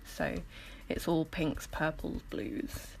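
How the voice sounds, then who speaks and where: Croaky, low-voiced woman doing casual voiceover. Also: fairly even intonation, slow pace, a little mellow, a little hiss or hum. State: croaky morning voice, just woke up. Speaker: artist making painting process videos. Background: music.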